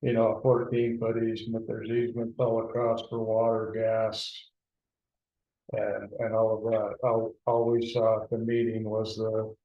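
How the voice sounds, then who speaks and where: A man leading a town board meeting, talking haltingly with many restarts. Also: lowish voice, monotone. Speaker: presiding board trustee. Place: town hall meeting room. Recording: dull lo-fi meeting recording.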